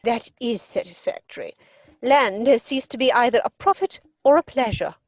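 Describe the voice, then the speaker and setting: A haughty, swooping, high-voiced grande dame. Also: female voice, animated, moderate pace, no echo. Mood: haughty, interrogating. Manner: pompous, disapproving, crisply emphatic. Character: imperious older upper-class lady, haughty and snobbish. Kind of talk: theatrical comedy dramatic reading. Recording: dry home video-call recording.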